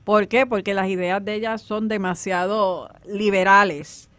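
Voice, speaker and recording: A man's voice: sits high, fairly even intonation, a little slow, fairly bright tone. A man talking politics casually as a panelist.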